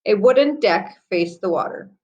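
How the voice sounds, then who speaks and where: A woman reading a children's story aloud with deliberate storytelling phrasing. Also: medium pitch, animated, a little mellow, muffled recording.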